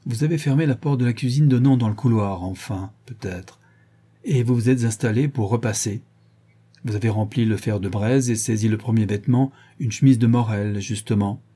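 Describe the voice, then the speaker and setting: A man voicing a stern, sharp-witted middle-aged woman who questions two servants and accuses one of them. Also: fairly low pitch, moderate pace, husky. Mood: probing. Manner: cold, probing, relentlessly accusing.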